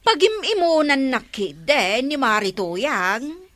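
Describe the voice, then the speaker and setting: A woman's voice: high voice, sing-song, takes it slowly, fairly bright tone, heavily acted. A woman with an Ilocano accent playing a concerned, insistent village neighbour in a radio comedy drama.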